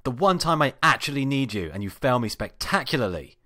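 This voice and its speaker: An exasperated, foul-mouthed stranded fast-food worker in a story, acted out by a man reading aloud. He is loudly indignant and sarcastic, his voice jumping up from its low base. The recording is dry and close. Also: big pitch swings, no echo. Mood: furious, exasperated.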